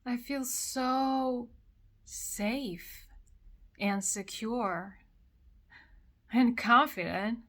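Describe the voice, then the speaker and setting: A woman from Korea with a Korean accent, speaking in English as a second language. She talks in a personal, emotional monologue after crying, halting and repeating phrases. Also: on the high side, fairly bright tone. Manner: slow, halting, repeats phrases, many pauses. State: has been crying. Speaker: vlogger.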